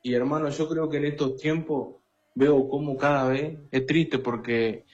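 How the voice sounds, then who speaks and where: A man's voice: mid-range voice, steady tone, unhurried, breathy edge. A man, preaching and testifying earnestly, repeating phrases as he addresses the listener. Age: 20s. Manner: earnest, repetitive, often addressing listener as brother. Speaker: guest lay preacher. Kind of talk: personal testimony and exhortation. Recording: dull lo-fi sound, faint music behind.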